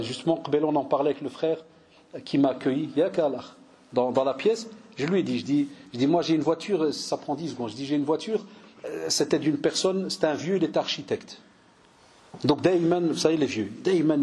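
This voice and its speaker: A man in his forties from Belgium, an imam giving a religious lecture, telling lively stories and mixing in Arabic phrases. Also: fairly high pitch, brisk. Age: 40s. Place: mosque cultural center hall.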